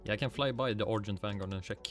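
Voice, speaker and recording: Swedish man, fairly low voice, relaxed game-stream chatter, faint music behind. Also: very fast. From Sweden. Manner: relaxed, muttering to himself, casual swearing, reading chat aloud. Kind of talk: casual livestream gaming chat. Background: faint music and keyboard typing.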